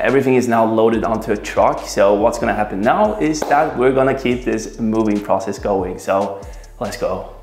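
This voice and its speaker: A young man in his twenties with a Swedish accent, casually showing viewers around his apartment and often checking in with "right?" and "you know". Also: on the low side. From Sweden. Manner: relaxed, chatty, peppered with "right?" and "you know". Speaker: vlogger. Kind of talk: casual vlog apartment tour. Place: apartment indoors.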